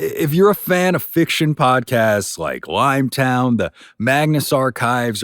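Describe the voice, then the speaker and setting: A man's voice: lowish voice, no echo, clean studio sound. A man hosting a podcast, giving a conversational, enthusiastic and emphatic introduction to a sponsored show. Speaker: podcast host.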